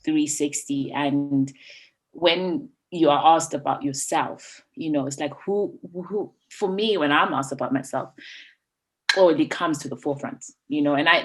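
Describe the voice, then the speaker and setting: A woman with a notably low voice co-hosts a casual podcast chat. She thinks aloud as she goes, leaning on "you know" and "like" while she works toward her point. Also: fairly even intonation, normal speed, rough recording. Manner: thoughtful, fills with "you know" and "like", frequent "mm" agreement. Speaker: podcast co-host.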